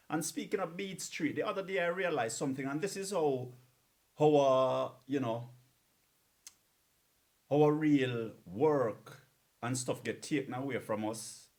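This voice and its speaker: A man's voice: mid-range voice, darkish tone. A man talking casually between songs as a radio DJ, earnest and repeating words for emphasis.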